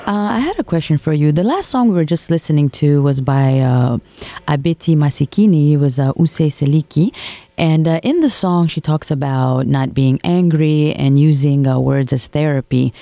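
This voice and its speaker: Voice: medium pitch, expressive, moderate pace, dark tone, no echo. A radio show host interviewing a guest in a casual manner, with frequent fillers. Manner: casual, friendly, many fillers.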